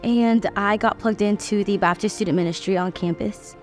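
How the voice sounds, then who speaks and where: Earnest young woman over soft music. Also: medium pitch, fairly even intonation, normal speed. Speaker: student interviewee. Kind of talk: testimony in a tribute video.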